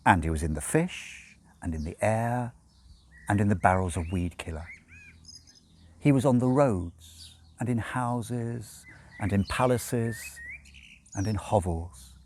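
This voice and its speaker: A man reading a novel aloud as expressive narration, with measured, dramatic phrasing and deliberate pauses. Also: lowish voice, a little hiss or hum. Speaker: audiobook reader.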